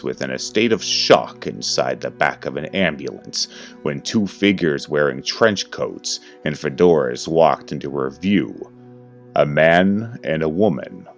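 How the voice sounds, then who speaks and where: A man's voice: extremely low pitch, lively intonation, unhurried. A man reading a scripted horror story as its narrator. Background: faint music.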